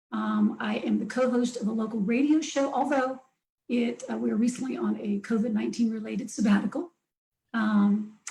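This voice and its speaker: A woman's voice: a little high, darkish tone. A middle-aged woman, a life coach, talking about herself and her beliefs in an upbeat, emphatic way, often in short listed phrases. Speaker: life coach, interview guest. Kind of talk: casual interview talk. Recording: echoey room.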